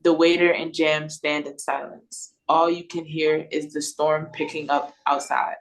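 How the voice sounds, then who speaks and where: Lower-pitched woman narrating a script. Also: little pitch movement, measured pace, rough recording. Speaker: narrator reading stage directions. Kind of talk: scripted narration, read-through. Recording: video call recording.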